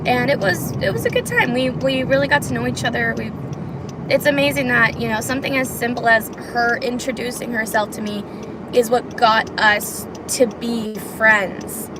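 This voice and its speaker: A woman's voice: fairly high pitch, moderate pace. Woman losing her voice, chatty vlog from the car, lots of road noise. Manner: chatty, rambling with restarts. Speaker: vlogger. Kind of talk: casual chat while driving. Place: car on a freeway. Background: loud car road noise.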